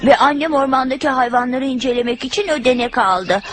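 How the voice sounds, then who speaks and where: Woman playing an eager cartoon boy, high-pitched. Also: brisk, over music, natural voice. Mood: chatty, excited. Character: eager, adventurous schoolboy, friendly and chatty. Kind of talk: dubbed cartoon acting.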